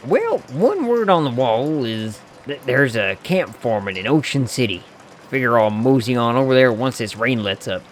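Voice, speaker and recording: A man voicing a friendly, teasing hobo drifter with a folksy, unhurried way of talking, reading a game character's lines aloud. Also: medium pitch, sing-song, slight background noise, lightly acted.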